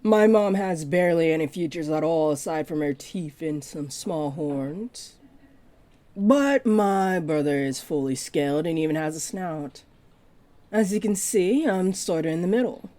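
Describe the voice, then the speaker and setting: Woman voicing a part-dragon librarian, possessive and flirty, lowish mellow voice, intimate teasing delivery, audio roleplay. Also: animated, a little slow. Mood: chatty, explaining. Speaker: voice actor. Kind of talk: roleplay audio drama monologue to listener.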